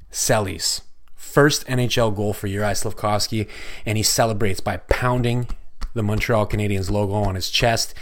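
A man hosting a solo hockey podcast, recapping a game in an excitable, chatty way with lots of swearing, his voice going hoarse. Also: fairly low pitch. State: losing his voice. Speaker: podcast host.

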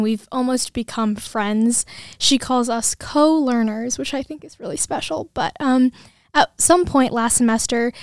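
A young woman hosts a podcast in an earnest, gushing conversational way, her voice sitting fairly high. She is recorded close on a dry mic with no room sound. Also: clear, resonant tone, dry recording. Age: 20s. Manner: earnest and effusive, many fillers. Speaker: podcast host and student. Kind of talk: casual podcast chat.

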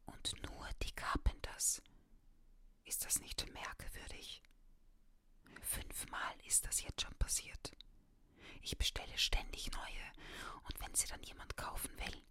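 A woman voicing a friendly, teasing kiosk owner, speaking in a soft whisper. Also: slow, long pauses.

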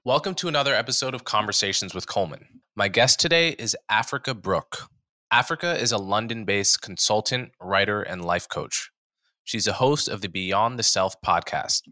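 A man hosting a podcast interview, reading a measured introduction and then asking questions with some hesitant restarts. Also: lowish voice, expressive, bright, forceful tone, no echo, studio quality, faint music behind. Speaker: podcast host. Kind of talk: interview host.

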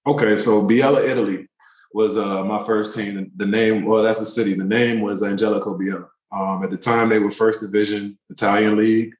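A man in his thirties, a pro basketball player, telling relaxed, rambling stories in a casual interview. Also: on the low side, brisk, echoey room, low-quality recording. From born in Turkey, raised in the DC area. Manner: relaxed, rambling, many fillers.

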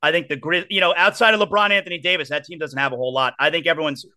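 A man's voice: on the high side, rapid-fire, pushed and bright. A man hosting a sports podcast, talking in a blunt and emphatic way. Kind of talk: casual sports chat.

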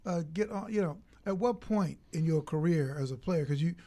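A man with a fairly high-pitched voice working as a sports interviewer. His style is conversational and probing, with bantering questions that he reshapes as he speaks. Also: moderate pace, dark tone. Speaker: interviewer, sports show host.

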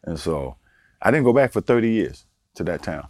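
Older guest from Baltimore, deep voice, easygoing anecdotes, dry recording. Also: male voice, normal speed, dry recording. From Baltimore, Maryland. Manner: relaxed storyteller, chuckles, repeats words for emphasis. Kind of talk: casual storytelling interview.

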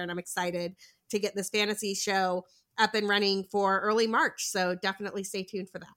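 A woman's voice: mid-range voice, sharp tone. A woman from Western Massachusetts, a podcast guest who talks enthusiastically and freely. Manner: enthusiastic and talkative. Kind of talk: casual podcast chat.

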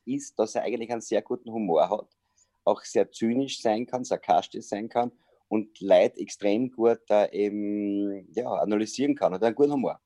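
A middle-aged Austrian man speaks in a low, mellow voice on a dry, close video call. He tells a story easily and keeps pausing to hesitate. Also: dry recording. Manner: chatty storyteller with frequent hesitations. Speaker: sports journalist and comedian. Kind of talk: casual interview over video call.

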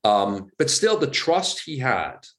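A man's voice: mid-range voice, slow. A man from Switzerland with a Swiss German accent, a guest in an interview chatting casually and acting out quoted dialogue as he tells stories. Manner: animated storyteller, acts out quoted dialogue. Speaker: actor guest.

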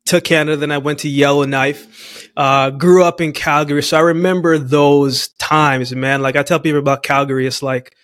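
A man's voice: fairly high pitch, level tone, moderate pace, fairly bright tone, no echo, studio quality. A man from Jamaica hosting a podcast interview, earnest and upbeat, often saying "man". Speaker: podcast host.

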